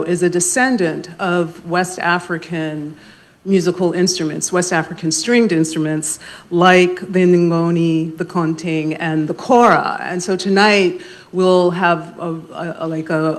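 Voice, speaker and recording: A soft-spoken woman moderating a library panel discussion, speaking in a measured way with frequent pauses and ums. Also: lowish voice. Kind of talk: panel discussion opening talk. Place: library event room. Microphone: handheld mic.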